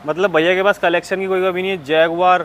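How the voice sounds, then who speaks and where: A man's voice: sits high, level tone, a little fast, fairly bright tone. A male vlogger, recorded outdoors with some background noise. He talks casually as a host, asking a seller questions politely and echoing the answers for his viewers. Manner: repeats answers back, deferential, addresses listeners. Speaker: vlog host interviewing a car dealer. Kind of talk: casual on-location market walkthrough, questions to a seller. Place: open-air used car lot. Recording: outdoor lot with clear ambient noise. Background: outdoor ambient noise.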